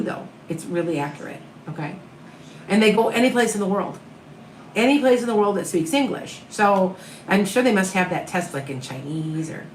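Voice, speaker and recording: A woman from the American Midwest, with a Midwestern accent, reading tarot live and answering viewer questions in a calm, soothing, reassuring way. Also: medium pitch, echoey room, a little hiss or hum, rough recording. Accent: Upper Midwest American. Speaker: tarot reader and medium.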